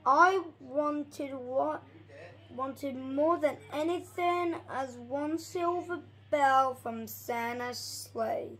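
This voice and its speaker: Young reader, bright voice, storybook read-aloud, slow pace, stumbles and repeats. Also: sits high, low-quality recording.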